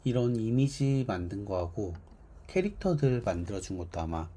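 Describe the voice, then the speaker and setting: A man with a hoarse, worn voice giving casual livestream commentary as a game developer, thinking aloud haltingly. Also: lowish voice, sing-song, dark tone. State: hoarse, strained voice from overuse.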